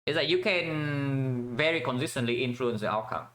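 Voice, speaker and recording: A man's voice: medium pitch, normal speed, a bit bright. A man from Vietnam giving casual commentary while playing a strategy game, thinking aloud with many fillers and restarts.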